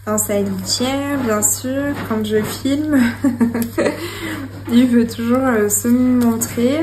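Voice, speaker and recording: A woman's voice: fairly high pitch, mellow, a little hiss or hum. A young woman hosting her budgeting channel, chatting casually to camera with many fillers and small giggles as she goes over her notes at home. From France. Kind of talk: casual talk to camera while writing. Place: home room with her cat.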